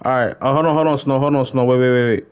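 A young adult man chatting casually as he streams a game, slangy and excitable, often repeating his phrases. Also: medium pitch, fast, clear, resonant tone, dull audio. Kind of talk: casual livestream gaming chat.

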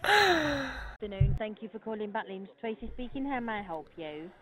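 A woman's voice: fairly high pitch, animated, normal speed, heavy background noise. A British woman working as a booking agent, explaining things politely and frankly in a customer service call. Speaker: holiday resort booking agent. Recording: tinny, narrow telephone line.

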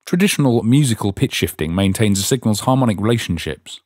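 A man's voice: lowish voice, expressive, fast. A man reading scripted instructional narration as the narrator of a tutorial.

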